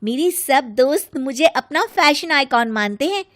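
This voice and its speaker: A woman's voice: high voice, lively intonation, measured pace, fairly bright tone. A woman voicing a bossy, vain young fashion-obsessed daughter-in-law in a cartoon story, emphatic and pushy.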